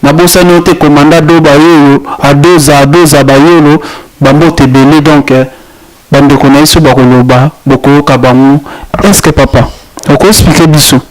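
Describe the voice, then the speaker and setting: A man hosts a street interview, his voice sitting a bit high. He keeps coming back to promoting his channel between questions. The sound is lo-fi, as though from a radio broadcast. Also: steady tone, moderate pace. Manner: repetitive channel plugs, promotional patter. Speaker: interviewer, web channel host. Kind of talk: street interview, mixing French and Lingala.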